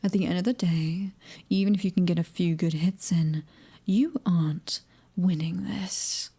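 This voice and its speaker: A British woman voicing a cocky, fiery mercenary in an ASMR roleplay, teasing and breathy, often dropping close to a whisper. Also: lowish voice, steady tone, unhurried, dark tone. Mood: defiant, grudging. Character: fiery, cocky young female mercenary, prickly and taunting. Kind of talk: ASMR audio roleplay acting.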